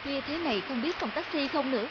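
A woman playing a kind, sensitive young woman in an animated drama, speaking earnestly and with emotion. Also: high voice, quick pace, dull audio, heavy background noise. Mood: concerned, gentle. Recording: music behind.

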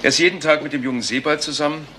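A man's voice: on the high side, fairly even intonation, racing, natural voice. An actor playing an informal, chatty police assistant reporting to his boss in a German crime drama. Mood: briskly informal. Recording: clearly noisy TV soundtrack.

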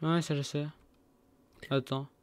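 A man's voice: on the high side, little pitch movement, takes it slowly. A young man streaming a game, chatting casually with friends and laughing often. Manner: chatty, laughs often, scrolls through old messages. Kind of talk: casual voice chat while gaming.

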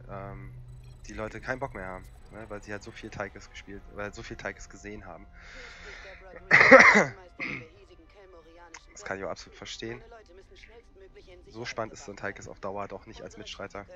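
Hoarse man with a cold, gaming. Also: fairly low pitch. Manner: relaxed and rambling, many fillers. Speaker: gaming channel host. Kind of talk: casual gameplay commentary.

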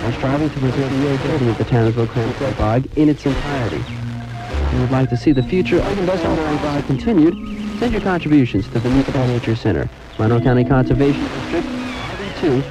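A male radio announcer with a mid-pitched voice reads a scripted public service spot. Loud music runs behind him throughout this 1980s broadcast. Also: quick pace, low-quality recording.

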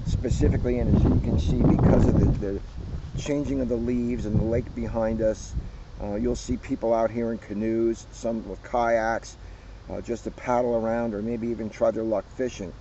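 A man's voice: mid-range voice, level tone, moderate pace. Casual middle-aged man, very noisy outdoors. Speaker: police officer co-hosting a read-aloud. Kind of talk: casual chat to camera. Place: outdoors by a lake. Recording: very noisy, windy, dull outdoor camera sound.

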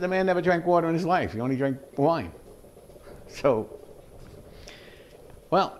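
A man's voice: mid-range voice, a little hiss or hum. Chatty older man in his kitchen. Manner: chatty, rambling, with chuckles and fillers. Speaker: home cooking show host. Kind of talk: casual cooking demonstration. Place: home kitchen, indoors. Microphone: fixed camera at mid distance.

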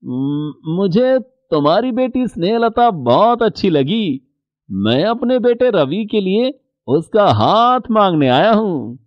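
Hearty middle-aged trader. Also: male voice, high-pitched, very animated, slow, no echo, muffled recording, heavily acted. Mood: cheerful. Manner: hearty, chatty. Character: jolly middle-aged male bangle trader Nathulal.

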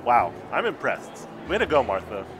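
Thirties male food vlogger, gushing, lapel mic in a busy restaurant, music underneath, mid-pitched voice. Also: very animated, moderate pace. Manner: enthusiastic, gushing about food. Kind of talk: casual restaurant review. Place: busy indoor restaurant patio.

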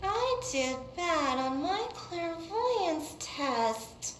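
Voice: very high-pitched, big pitch swings, very slow, fairly bright tone, full character voice. A performer voicing a little girl puppet on a children's show, with a childlike, exaggerated delivery. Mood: upset. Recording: echoey room with faint noise.

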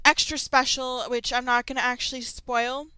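Girl narrator chatting to her viewers, crisp bright voice, excited and informal. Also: high-pitched, moderate pace, no room sound. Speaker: creepypasta narrator and channel host. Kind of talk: casual chatty audio update to viewers.

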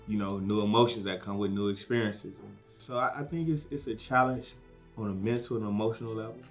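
Reflective man in an interview, low voice. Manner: reflective, searching. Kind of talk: documentary interview.

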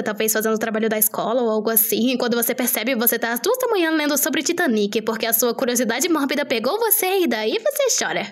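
A woman with a Brazilian accent playing an excitable young cat owner, narrating an animated storytime fandub in a cutesy, expressive way. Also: fairly high pitch.

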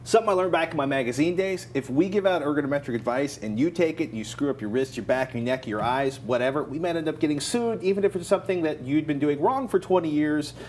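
A man's voice: fairly high pitch, expressive, fast talker, hardly any pauses, darkish tone, a little hiss or hum. A middle-aged American man co-hosting a tech show at a studio desk, presenting and giving advice casually.

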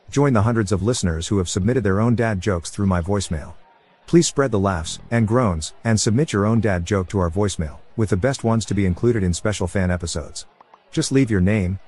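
A man with a lowish voice reads scripted promos for a podcast in a clear, deliberate way. He drops to a slow, careful pace when giving out the number. Soft music plays faintly behind him. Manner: clear, deliberate read, slowing right down for phone numbers. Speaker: podcast host reading promos.

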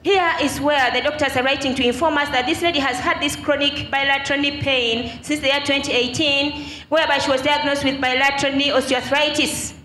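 Woman presenter, high bright voice, formal measured explanation, echoey room. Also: fairly even intonation. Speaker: ministry presenter. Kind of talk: formal narration. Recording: echoey room with faint noise.

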